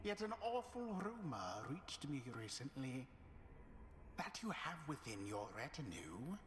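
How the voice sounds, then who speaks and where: An actor playing an ominous, haughty unseen voice in a video game, formal and archaic with mock politeness. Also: male voice, medium pitch, very animated, a little slow, a little hiss or hum. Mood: mock-polite menace.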